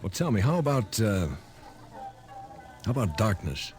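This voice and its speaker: An actor playing an earnest newspaper reporter, hesitant and questioning, in old radio drama. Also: male voice, lowish voice, very animated, a little slow, darkish tone, soft background music. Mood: inquisitive.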